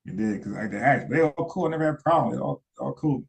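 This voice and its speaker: A man's voice: mid-range voice, expressive, fast talker, vocal fry. A man giving casual live-stream commentary, rambling, repeating words and asking his chat questions. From United States.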